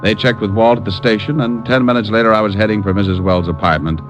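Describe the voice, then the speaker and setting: An actor playing a wry, glib private detective in an old-time radio drama. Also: male voice, on the low side, steady tone, fast talker, music in the background, close to an everyday voice. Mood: wry narrating. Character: wisecracking, easily flustered male private detective. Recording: muffled old radio recording.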